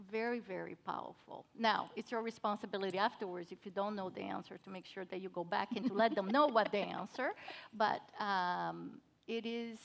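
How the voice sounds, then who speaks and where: A woman with a Brazilian Portuguese accent speaking earnestly as a conference panelist, pausing with many fillers and restarts. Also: medium pitch, creaky. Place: conference stage.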